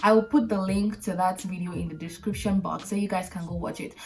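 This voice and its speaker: A woman's voice: mid-range voice, steady tone, fairly quick, distant mic, soft background music. A woman in her late twenties with a Nigerian accent, hosting an advice vlog in an emphatic, direct way that repeats points for stress. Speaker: video host.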